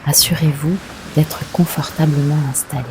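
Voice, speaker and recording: Deep soft-toned woman doing guided meditation, gentle and unhurried w long pauses, bit of background hiss. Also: fairly even intonation. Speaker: yoga nidra guide.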